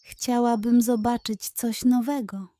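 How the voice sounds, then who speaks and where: A woman's voice: on the high side, fairly even intonation, unhurried, dark tone, no echo, close to an everyday voice. A woman voicing a small, dreamy young female seal in a children's bedtime story, earnest and wistful. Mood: earnest longing.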